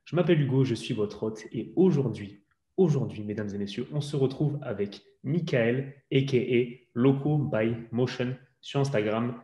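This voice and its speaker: A man's voice: mid-range voice, moderate pace, warm tone. A man from France hosting a fitness podcast, asking long rambling questions full of fillers. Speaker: podcast host. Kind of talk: casual podcast interview.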